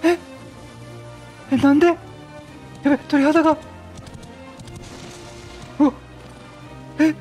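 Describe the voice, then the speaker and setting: A middle-aged man giving chatty, excitable Let's Play commentary, reacting and repeating his phrases. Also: extremely high pitch, takes it slowly, long pauses. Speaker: game streamer. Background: game music.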